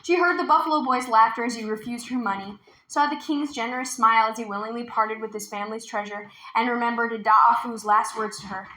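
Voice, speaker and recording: A woman's voice: a little high, brisk, bright, forceful tone, roomy sound, poor audio. A woman reading a storybook aloud expressively as a storytime narrator. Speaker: storytime reader.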